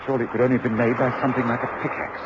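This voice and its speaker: An actor playing a commanding, observant English gentleman detective with a precise, authoritative way of speaking, in a radio drama. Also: medium pitch, level tone, very fast, a loud crowd, lightly acted. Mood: certain, analytical. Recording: dull, noisy old radio recording.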